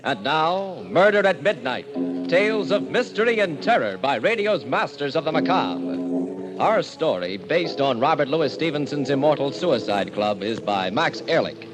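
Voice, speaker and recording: A man announcing an old-time radio mystery show in an ominous, theatrical, deliberate manner. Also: on the high side, very animated, poor audio. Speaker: announcer and host. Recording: vintage radio recording, loud music.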